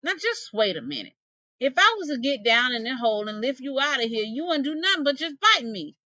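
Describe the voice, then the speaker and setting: Woman playing a bright, high-pitched, wary old possum. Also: sing-song, a little fast, no echo, heavily acted. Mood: doubtful. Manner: folksy Southern dialect grammar, indignant reasoning. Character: gentle, nosy, kind-hearted old male possum, wary. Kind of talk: animated folktale storytelling.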